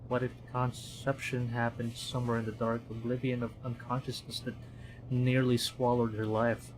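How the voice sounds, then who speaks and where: A man's voice: medium pitch, level tone, moderate pace, background noise. A man from the Philippines, streaming as he narrates a visual novel dramatically and adds casual commentary.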